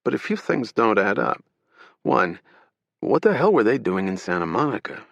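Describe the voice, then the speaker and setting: A man's voice: fairly low pitch, very animated, moderate pace, vocal fry, no echo, highs cut off, heavily acted. A man voicing a sardonic male federal agent who jokes while talking shop. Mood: businesslike. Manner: sardonic, joking. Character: wisecracking male federal agent, buzz cut.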